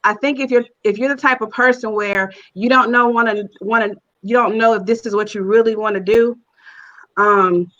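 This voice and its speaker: A woman's voice: a little high, steady tone, moderate pace, a bit bright, muffled recording. A woman guest on a panel, giving earnest conversational advice and repeating phrases for emphasis. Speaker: guest, stage play writer-director.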